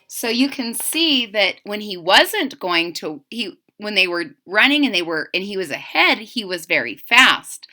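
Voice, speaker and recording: A woman reading a children's picture book aloud in an expressive, sing-song manner, speaking directly to young listeners. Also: medium pitch, a little slow, few pauses.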